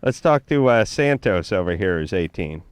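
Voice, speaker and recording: A man's voice: sits low, expressive, moderate pace, clear, resonant tone, no room sound. A man co-hosting a late-night radio call-in show in close-miked studio banter, blunt and teasing with callers. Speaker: radio co-host. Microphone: close studio mic.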